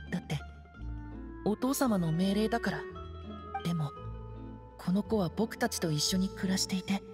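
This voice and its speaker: A woman playing a timid, earnest, soft-spoken teenage boy in an anime-style game, hesitant and subdued, with music behind. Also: medium pitch, lightly acted. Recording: studio voice over game music. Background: background music.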